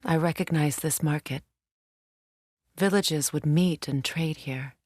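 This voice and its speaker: An actress playing a proud, battle-hardened middle-aged warrior goddess in a video game, with a measured, commanding, dry manner. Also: female voice, sits low, darkish tone, dry recording. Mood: calm, reflective. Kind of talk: video game character dialogue and battle callouts.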